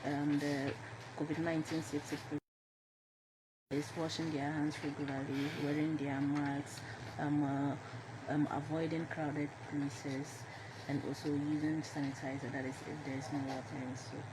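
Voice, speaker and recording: A young Ghanaian woman makes an earnest appeal as a radio panelist, pausing now and then to find words. There is clear noise behind her. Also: sits low, flat delivery. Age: young adult. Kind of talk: unscripted public-health appeal in panel talk. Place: radio studio.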